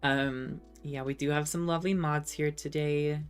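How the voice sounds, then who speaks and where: A woman's voice: low voice, steady tone, moderate pace, smooth, faint music behind. A young woman with a Californian accent that has drifted over time, chatting casually on a live stream and reading out viewer comments. She rambles with many ums and restarts and laughs often, and she says she is feverish. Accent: Californian American, shifted by time abroad.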